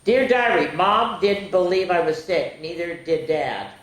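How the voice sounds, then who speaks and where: A woman's voice: lowish voice, unhurried, natural voice. A woman voicing a worried, grumbling young schoolboy in a storybook read-aloud. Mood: dejected, complaining. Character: young schoolboy diarist, worried and grumbling among animal classmates. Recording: roomy echo.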